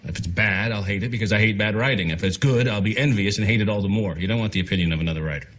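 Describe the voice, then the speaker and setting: A combative, macho writer in a movie scene. His voice is low and husky, and he fires off brusque, boastful pronouncements at a quick pace. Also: fairly bright tone. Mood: blunt, scornful. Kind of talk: film dialogue.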